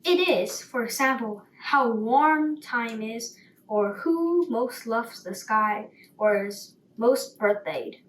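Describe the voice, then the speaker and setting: A woman as a pompous, slow-talking sparrow. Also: on the high side, a bit bright. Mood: explaining, self-assured. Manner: pompous, explanatory. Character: self-assured male sparrow, a know-it-all inventor. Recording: roomy, distant mic, faint noise.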